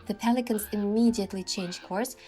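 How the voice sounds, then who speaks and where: A woman reading scripted first-person story narration in an expressive way, acting out the lines as a heroine. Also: mid-range voice, brisk, soft background music. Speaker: narrator voicing a game's heroine.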